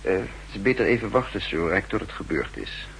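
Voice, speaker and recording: An actor playing a shrewd, calm spymaster in a 1960s radio play, speaking in a measured, deliberate way. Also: male voice, sits low, vocal fry. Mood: calm, sly. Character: shrewd, calm male spy posing as counterintelligence chief. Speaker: lead character and narrator. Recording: hissy, humming 1960s radio recording.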